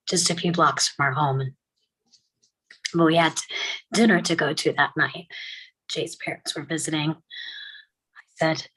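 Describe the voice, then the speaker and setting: A woman playing a grieving mother who recalls her young son, halting and emotional, in a dramatic table read. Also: lowish voice, bright, forceful tone, slightly rough, heavily acted, poor audio. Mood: halting, tender. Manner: halting, emotional, drops into whispers.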